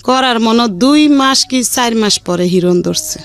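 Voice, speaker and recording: A woman's voice: on the high side, animated, measured pace, no echo, soft background music. A middle-aged woman with a colloquial Bangladeshi accent giving a rambling, plain-spoken personal account as an interview guest. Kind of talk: personal life-story account.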